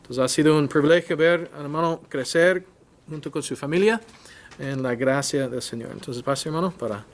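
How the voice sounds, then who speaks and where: A man hosting a church workshop who introduces the speaker and then interprets him phrase by phrase, often restarting phrases. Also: a little high. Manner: halting, restarts phrases while translating. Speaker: host and interpreter.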